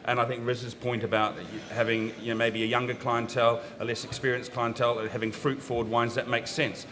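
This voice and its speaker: A man with a New Zealand accent talks on a seminar panel about wine lists. His voice is bright and forward, and his pitch sits mid-range. He is frank and opinionated, but he keeps an easy, conversational tone. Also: little pitch movement, a little fast. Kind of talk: panel discussion. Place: seminar room.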